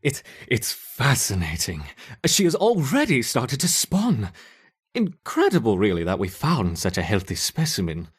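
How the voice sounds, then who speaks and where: A man's voice: mid-range voice, wildly swooping pitch, measured pace, slightly rough, exaggerated voice. A man playing an eager, hesitant scientist who dotes on his ant colony in a video game. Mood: fascinated. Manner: hesitant, stammering, fussing over his subjects.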